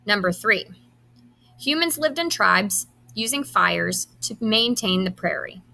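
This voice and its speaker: A bright, crisp-edged voice from a woman who teaches high school. She reads questions and answer options aloud in an instructional way, setting out each item deliberately. Her pitch sits in an average range for a woman. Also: lively intonation. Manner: instructional, enunciates quiz items deliberately. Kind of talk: reading quiz questions aloud to students, with brief instructions.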